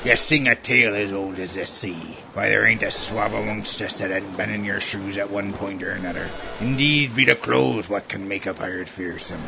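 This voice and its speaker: An actor playing a gruff, swaggering pirate bosun in a comedy ad skit, talking in theatrical old-sea pirate speech. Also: male voice, lowish voice, normal speed, hardly any pauses, noisy background. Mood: hearty.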